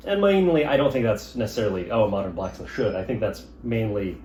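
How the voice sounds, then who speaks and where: Man, lowish voice, thoughtful, lots of ums, roomy echo, faint noise. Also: fast. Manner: relaxed, thoughtful, frequent ums. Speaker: blacksmith. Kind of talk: casual interview talk. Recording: echoey room with faint noise.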